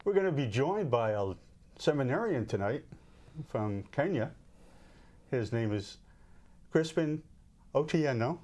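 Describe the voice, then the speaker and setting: A man's voice: mid-range voice, expressive, a little slow, long pauses, darkish tone. A man hosting a talk show, interviewing a guest with friendly, rambling questions.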